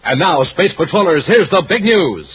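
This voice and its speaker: A man's voice: high voice, sing-song, normal speed, husky, no room sound. A man announcing and narrating a 1950s radio adventure serial in a dramatic style. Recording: noisy, muffled vintage radio recording.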